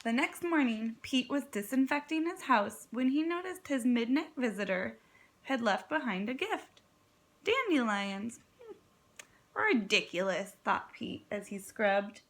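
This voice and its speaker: A woman, a kindergarten teacher, reading a storybook aloud expressively, with dramatic pauses and encouraging questions for young listeners. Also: on the high side, big pitch swings. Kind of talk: children's read-aloud with prompting questions.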